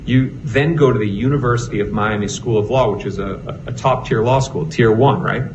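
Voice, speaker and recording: A man's voice: fairly low pitch, a little fast. A man with a Boston accent cross-examining a witness as an attorney, pressing with frequent tag questions. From Northeastern United States. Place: courtroom. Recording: noisy, muffled courtroom recording.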